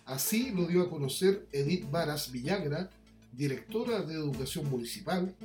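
Man, news presenter, scripted read, higher-set voice, echoey room sound. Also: a little mellow. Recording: echoey voice over music bed.

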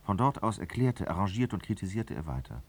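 Low-pitched man narrating a radio drama. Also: sing-song, a little fast.

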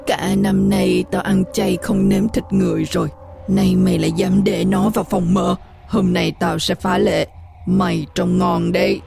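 A woman voicing a cruel, flesh-eating demonic old matriarch in a horror audiobook, with a hoarse, gravelly, slow and threatening delivery. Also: fairly low pitch, music in the background. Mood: menacing.

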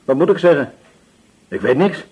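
A raspy, sullen young corporal. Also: male voice, on the high side, animated, normal speed, darkish tone. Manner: curt, evasive, defiant. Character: sullen, defensive nineteen-year-old male army corporal. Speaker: actor in a radio play. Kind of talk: Dutch radio drama acting.

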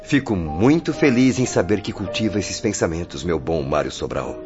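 An actor playing a calm, compassionate spiritual mentor in a radio drama, with measured, gentle authority. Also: male voice, low-pitched. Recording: dull lo-fi, music behind.

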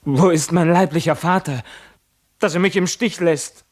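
An actor playing a tormented, bewildered young prisoner in a radio play, speaking in a halting, declamatory way. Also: male voice, fairly high pitch, close to an everyday voice. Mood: anguished, desperate. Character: tormented imprisoned young man, feverish, bewildered, brooding. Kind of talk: classic German radio play acting.

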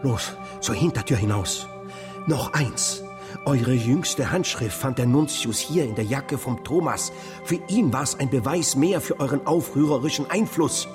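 A man acting a grieving, anxious townsman in a historical radio play. His medium-pitched voice is sombre and urgent, ringing in long reverb with music behind. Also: unhurried, natural voice.

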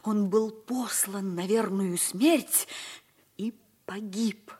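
A woman's voice: medium pitch, drawn-out words, darkish tone, breathy edge. An actress playing an earnest, tender, protective schoolgirl daughter in an old radio stage drama, with a pleading, theatrical delivery. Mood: grave. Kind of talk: mid-century Soviet radio stage drama. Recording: old radio play recording.

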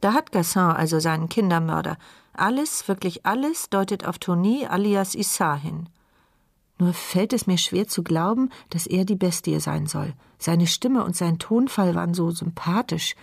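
An actress playing an anxious, sharp-minded woman in a radio play, in an intimate, questioning inner monologue. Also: on the low side, expressive, normal speed, gravelly, no echo, studio quality. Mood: pensive.

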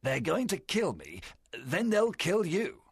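A man voicing a fierce, cunning pirate captain with a strained, gravelly rasp and theatrical, commanding delivery in a storybook reading. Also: fairly high pitch, huge pitch swings, takes it slowly, no echo, studio quality, voice pushed up, full character voice. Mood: sly, conspiring. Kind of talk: dramatized audiobook character voice.

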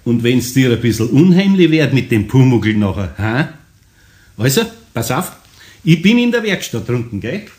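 An actor playing a good-natured older master carpenter in a radio play, talking in homely, gently teasing Bavarian dialect. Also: male voice, mid-range voice, big pitch swings, moderate pace, natural voice.

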